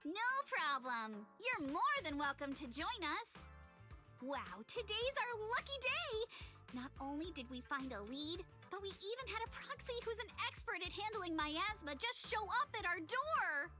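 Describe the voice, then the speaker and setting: A woman's voice: extremely high pitch, sing-song, a little slow, music in the background, full character voice. An actress playing a brash, teasing and confident schoolgirl schemer in an anime-style video game. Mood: upbeat, confident.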